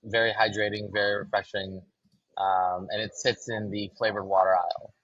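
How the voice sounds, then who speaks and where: A man with a low, husky voice talks loosely about his business in a podcast interview, pausing on ums and restarting his sentences. The sound is muffled and dry. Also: no room sound. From Los Angeles. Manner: relaxed, fills with ums and restarts. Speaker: brand co-founder.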